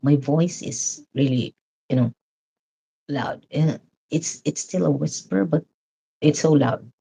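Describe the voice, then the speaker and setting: A woman from the Philippines chatting loudly and casually with live viewers, laughing often. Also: sits low, slow pace, creaky, slightly rough. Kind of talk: casual live-stream chat.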